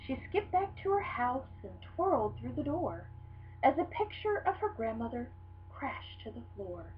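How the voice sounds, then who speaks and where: A woman reading a children's picture book aloud in an expressive, dramatic storytelling style. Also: sits high, unhurried. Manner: animated, dramatic storytelling delivery. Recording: dull lo-fi sound with hum.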